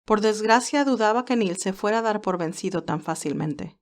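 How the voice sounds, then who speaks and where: A woman's voice: mid-range voice, expressive, moderate pace. A woman reading scripted narration for a romantic story as its storyteller.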